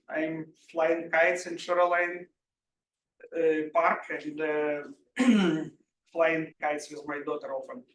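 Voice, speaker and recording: A middle-aged man from Ukraine with a Russian accent gives an informal technical workshop talk, haltingly and often clearing his throat. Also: a little high, a bit bright. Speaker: workshop presenter, security engineer. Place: indoor room at a live workshop. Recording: roomy echo, distant mic, faint noise.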